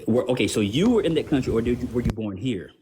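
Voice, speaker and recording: A man's voice: mid-range voice, lively intonation, fast, dark tone, slight background noise. A male panelist in a heated debate, pressing his point and firing off pointed questions. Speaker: guest panelist.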